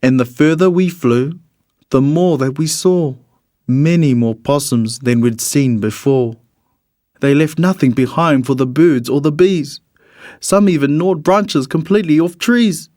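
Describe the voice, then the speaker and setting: A man reads a rhyming children's storybook in an expressive, measured storytelling manner. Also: fairly high pitch, no echo, studio quality. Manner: expressive, measured storytelling in the character of a bird narrator. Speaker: storybook reader.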